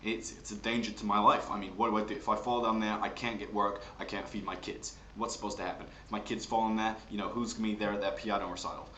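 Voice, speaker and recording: A man's voice: lowish voice, level tone, fast talker, hardly any pauses, bright, forceful tone. A man voicing a worried, indignant working-class New York father, complaining insistently in a comic monologue. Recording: roomy, noisy home recording.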